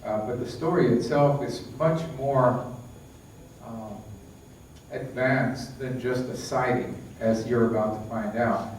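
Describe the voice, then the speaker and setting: A man's voice: mid-range voice, takes it slowly, darkish tone. A man lecturing to an audience and talking it through casually, full of fillers and restarts. He sounds far from the mic in a very echoey hall. A faint hiss sits under his voice. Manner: conversational, many fillers and restarts. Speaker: lecturer, book author. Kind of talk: conference lecture.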